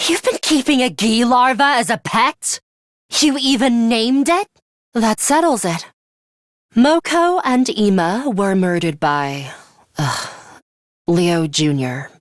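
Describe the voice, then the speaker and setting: An actress playing a stern, cool-headed young woman fighter in a video game, with a blunt, measured and analytical way of talking. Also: medium pitch, no echo, studio quality. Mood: cold, accusing. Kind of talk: dramatic English video game voice acting.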